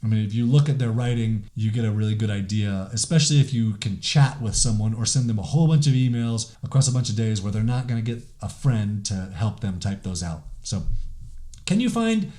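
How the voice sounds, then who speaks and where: A man's voice: on the low side, animated, fairly quick, dark tone. A man hosting a podcast, talking casually on his own with many "like" fillers and restarts. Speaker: podcast host. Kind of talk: casual solo podcast monologue.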